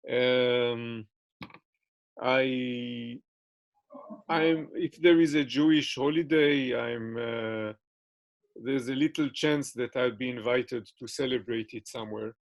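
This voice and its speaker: A man's voice: mid-range voice, takes it slowly, long pauses. A man giving interview answers in English, which is not his first language, with many fillers and restarts.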